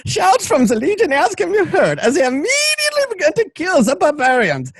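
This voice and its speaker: A man's voice: extremely high pitch, huge pitch swings, a little fast, no echo, clean studio sound. A man reading stage directions as narrator of an amateur radio play, in a theatrical, playful and dramatic way. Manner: dramatic, playful, acts out shouts and crowd.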